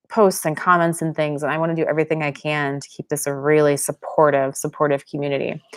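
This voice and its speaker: A young woman from Pennsylvania hosting a live-stream Q&A, chatting casually and reading viewer comments aloud. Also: low-pitched. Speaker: channel host.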